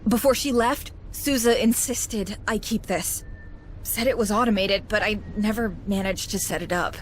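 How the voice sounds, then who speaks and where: An actress playing a shaken but wry colony veterinarian in a sci-fi game, plainspoken and self-deprecating. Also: female voice, mid-range voice, moderate pace, soft background music, lightly acted. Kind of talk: video game voice acting.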